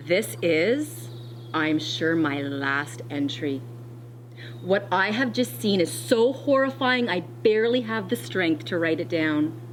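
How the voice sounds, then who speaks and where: A woman schoolteacher reading a children's storybook aloud, expressively and deliberately, with dramatic pauses. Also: on the low side, animated, slight background noise, rough recording. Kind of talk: read-aloud of a children's storybook with teacher asides.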